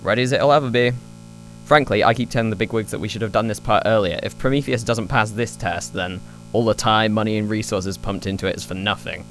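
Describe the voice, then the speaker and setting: A pragmatic, slightly harried scientist played by a man speaks in a lowish, natural voice over faint music. He is brisk and matter-of-fact, as in an audio drama. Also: fairly bright tone. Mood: earnest.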